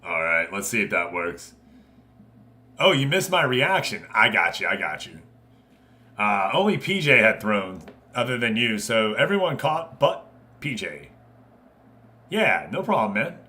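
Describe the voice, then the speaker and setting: A man's voice: fairly low pitch, sing-song, measured pace, long pauses, bright, forceful tone. A man hosting a live game stream, giving casual commentary and chatting informally with viewers. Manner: informal, conversational, addressing viewers directly.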